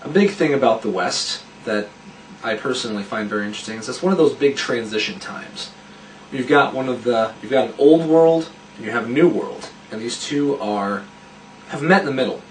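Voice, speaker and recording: A man's voice: medium pitch, moderate pace, a little hiss or hum. A man explaining a fantasy setting behind the scenes in a thoughtful, explanatory way. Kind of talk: behind-the-scenes casual chat. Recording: echoey room, distant mic.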